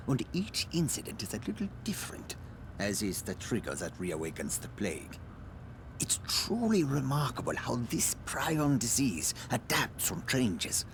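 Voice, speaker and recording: An actor playing an evasive, self-justifying scientist in a video game, speaking formally and precisely with polite condescension. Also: male voice, mid-range voice, slight background noise, poor audio. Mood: fascinated. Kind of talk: dramatic video game cutscene acting.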